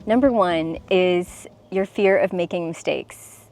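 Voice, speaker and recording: A woman's voice: fairly low pitch, slow pace, over music. A young woman speaking to camera as a self-expression coach, earnest and encouraging, sometimes restarting her words.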